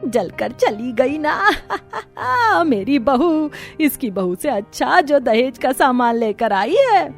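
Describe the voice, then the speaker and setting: Lady doing older cartoon saas, greedy n bossy, high voice, scolds and brags, faint music behind. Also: sing-song, slow, few pauses, exaggerated voice. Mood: gloating. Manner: scolding, gloating, emphatic. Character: greedy, boastful, bossy older mother-in-law. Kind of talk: Hindi cartoon story voice acting.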